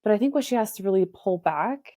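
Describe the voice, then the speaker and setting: A woman's voice: mid-range voice, lively intonation, brisk, warm tone, no room sound, clean studio sound. A woman in her thirties, a therapist guest on a podcast, speaking in a thoughtful, reflective way. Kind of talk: casual podcast conversation.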